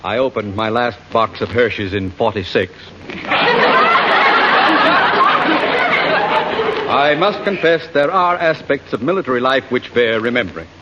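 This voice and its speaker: A man's voice: mid-range voice, lively intonation, brisk, lightly acted. An American man playing a pompous, officious middle-aged school principal and former army major, hemming his way through a 1940s radio sitcom. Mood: pompous, nostalgic. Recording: dull, very noisy old radio recording, audience.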